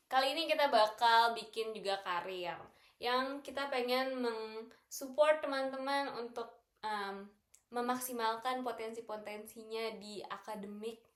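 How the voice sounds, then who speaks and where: High-voiced young Indonesian woman, echoey room. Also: a bit bright. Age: young adult. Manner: chatty, mixes in English and German words. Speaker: student association board member and co-host. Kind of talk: casual group podcast chat. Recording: roomy, echoing room sound.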